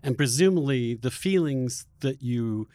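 A man hosting a conversational interview, thinking aloud in a discursive, halting way as he works toward his questions. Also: mid-range voice, animated, dark tone, no echo, clean studio sound. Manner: musing, discursive, builds long questions haltingly. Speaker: podcast interviewer, host.

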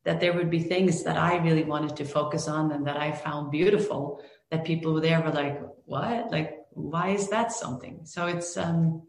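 Low-voiced Norwegian woman, echoey room. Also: steady tone, normal speed, hardly any pauses, slight background noise. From Norway. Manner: thoughtful, with many restarts and fillers. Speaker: film director. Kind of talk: interview answers.

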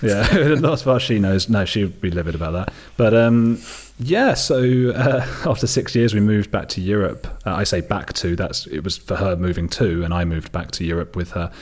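An English man in his thirties, a guest in a relaxed conversation, chatting and joking about himself. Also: on the low side, moderate pace, few pauses. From England. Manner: chatty, joking, self-deprecating. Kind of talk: casual conversation.

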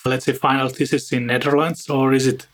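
A man's voice: medium pitch, flat delivery, brisk. A man hosting an interview podcast in a conversational way, often padding his sentences with filler phrases. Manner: frequently interjects "let's say". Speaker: podcast host.

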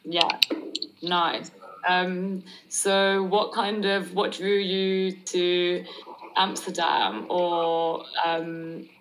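A woman's voice: lowish voice, steady tone, drawn-out words, poor audio. A woman hosting an interview, asking friendly questions with fillers and restarts. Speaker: host. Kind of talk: casual interview chat. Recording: faint background noise.

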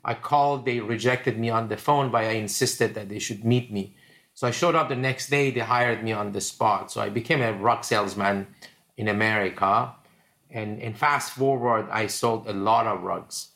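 A man's voice: lowish voice, fairly even intonation, moderate pace, fairly bright tone. A man in his fifties with an Iranian accent, telling personal stories conversationally as a podcast guest. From Iran. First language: Persian. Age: 50s. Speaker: podcast guest, venture capitalist.

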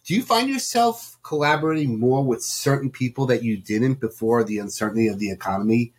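A man's voice: mid-range voice, animated, fairly quick, husky. A man hosting a casual interview, asking chatty, rambling questions with some emphasis. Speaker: podcast host, interviewer.

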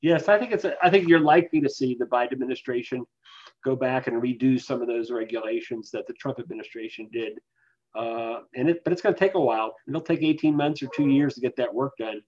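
A man speaking as an expert guest, giving explanatory interview answers in a measured, analytical way. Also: medium pitch, a little mellow. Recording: dull, lo-fi voice capture.

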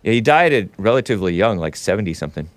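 A man's voice: fairly low pitch, big pitch swings, rapid-fire, dry recording. Nasal man hosting a rambling talk show. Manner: rambling, laughs often, chats with the live chat. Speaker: show host. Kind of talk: casual opinionated livestream talk.

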